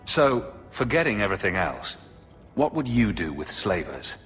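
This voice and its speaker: An actor playing a determined fantasy game hero with a wry, controlled manner. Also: male voice, on the low side, sing-song, normal speed, a bit bright. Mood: wry resolve. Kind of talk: dramatic video game acting. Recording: long hall reverb, faint music behind.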